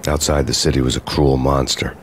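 An actor playing a world-weary undercover detective, deadpan and brooding, narrating a grim hard-boiled noir game story. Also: male voice, extremely low pitch, brisk, slight background noise.

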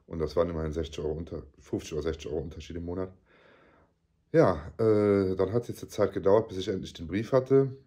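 A man in his forties with a cold, talking casually and excitably into a phone camera held at arm's length. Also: low-pitched, fast talker, no room sound, studio quality. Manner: chatty, excitable, swears casually. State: has a cold. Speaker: vlogger. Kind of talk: casual vlog. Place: living room, then car park and car interior.

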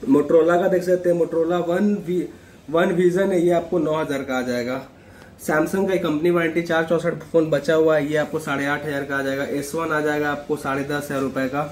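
A man gives a matter-of-fact sales pitch as a shop seller, listing products and mixing in English words. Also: little pitch movement, normal speed. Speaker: second-hand phone shop seller. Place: small indoor phone shop. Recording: echoey room, clear background noise.

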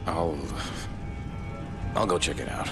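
A man acting a wry, laid-back survivor hero in a zombie video game, with a gravelly, sardonic mutter. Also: low voice, slow pace. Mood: casual, reluctant. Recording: music behind the voice.

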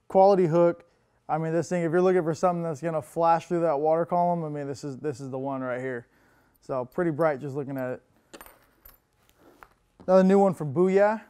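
A young man hosting a casual product rundown in a tackle shop, relaxed and loose with plenty of filler words. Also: smooth. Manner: relaxed, lots of fillers, upbeat pitches. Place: indoor tackle shop with lure wall.

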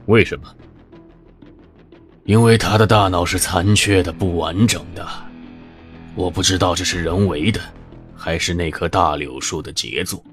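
Brief: a man voicing a deep-voiced, secretive shadow teacher for an audio drama, slow and grave, with music underneath. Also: natural voice. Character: cryptic shadowy male mentor who foresees futures.

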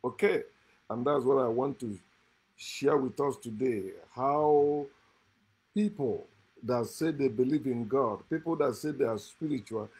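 A middle-aged man with a husky, slightly breathy voice at a middle pitch. He lectures to a phone camera held close, stressing and repeating phrases like a preacher. The room is indoors and the sound is close up. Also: a little mellow, low-quality recording. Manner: preachy, repetitive, emphatic. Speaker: host.

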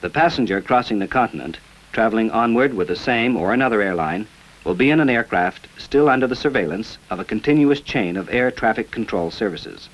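A man reading scripted narration for an old informational film in a measured, formal manner. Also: on the low side, lively intonation, muffled recording. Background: background music.

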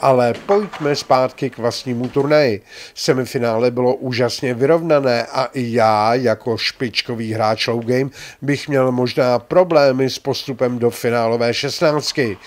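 A man's voice: mid-range voice, fairly even intonation. An older man hosting a bowling tournament, chatting and teasing as he reports and interviews players with a handheld mic in a large bowling alley. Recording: faint hall noise.

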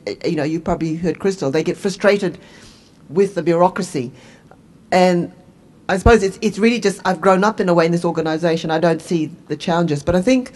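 Woman with a New Zealand accent, low voice. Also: a little mellow. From Fiji. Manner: self-deprecating, laughs easily. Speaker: panelist. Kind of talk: conversational panel talk. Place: conference room. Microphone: handheld microphone.

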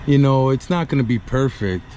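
A man's voice: medium pitch, animated, normal speed, mellow. A man vlogging as a trucker, talking casually to viewers while he works, outdoors at a gas station at night on a head-mounted camera. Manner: laid-back, talks to viewers while working, mixes in Spanish phrases. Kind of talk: casual how-to vlog. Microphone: head-mounted action camera. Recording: noisy outdoor vehicle ambience.

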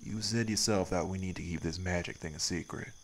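A man's voice: low voice, fairly quick, slight background noise, close to an everyday voice. A man voicing a stern, weary wraith priest in an audio roleplay, with a laconic, folksy way of talking. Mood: calm, resolute. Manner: laconic, folksy, drawled nonstandard grammar. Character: stern, weary male wraith priest guarding his town. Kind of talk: scripted audio roleplay acting.

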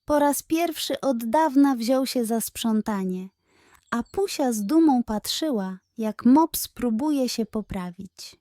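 A woman narrates a children's bedtime story at a slow pace. Her voice sits a little high, and the sound is dry, with hardly any room to it. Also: animated, mellow, no room sound.

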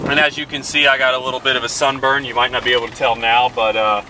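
A young man in his twenties, talking casually and quickly to the camera held at arm's length, vlogging about his workout. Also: medium pitch, level tone, pushed and bright, slight background noise, rough recording. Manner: quick, chatty, loose with fillers. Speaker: fitness vlogger. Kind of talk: casual vlog narration. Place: in a car, then gym voice-over, then kitchen.

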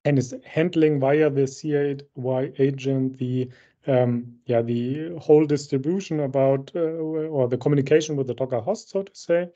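Man, bright voice, dull call audio. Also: medium pitch, steady tone, moderate pace. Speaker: solution architect presenting a software demo. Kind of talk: technical demo presentation. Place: indoor room, on a video call. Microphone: video-call microphone.

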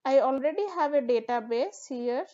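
A woman, walking through a software tutorial step by step with the odd filler. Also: high-pitched, moderate pace, muffled recording. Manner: steady step-by-step explaining with fillers. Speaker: tutorial instructor. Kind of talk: screen-recorded software tutorial.

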